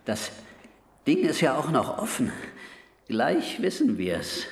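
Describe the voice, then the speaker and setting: A man's voice: medium pitch, wildly swooping pitch, takes it slowly, background noise, heavily acted. A man playing a feisty, boastful cartoon sailor in a comic children's radio play, exclaiming and thinking aloud to himself. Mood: determined.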